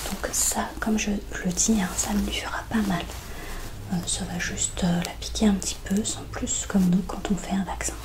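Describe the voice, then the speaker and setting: A woman doing a soft-spoken, whispered ASMR roleplay as a veterinarian, speaking gently and reassuringly with hesitant pauses. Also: medium pitch, fairly even intonation, rough recording.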